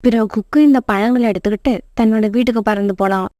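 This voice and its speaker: A woman's voice: fairly high pitch, steady tone, fast, mellow, no echo, clean studio sound. A woman narrating a scripted moral story for children.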